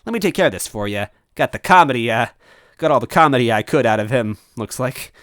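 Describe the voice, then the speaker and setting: Man voicing a mischievous, high-pitched ghost trickster. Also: expressive, fast talker, a bit bright, dry recording, studio quality, lightly acted. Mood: breezy, casual. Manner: slangy, teasing, gleeful drawl. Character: mischievous male ghost trickster, mocking, cheery, casual.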